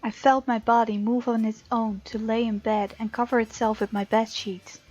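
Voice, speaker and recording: High-voiced woman hosting a game playthrough. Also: flat delivery, moderate pace, darkish tone, dull audio. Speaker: let's play host. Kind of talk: reading game text aloud with casual reactions. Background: faint game music.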